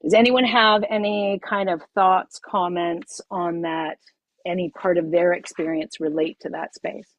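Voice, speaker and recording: A middle-aged woman, a yoga teacher, leading a group masterclass over a video call, emphatic and expressive, repeating her key phrases. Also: fairly low pitch, measured pace, rough recording.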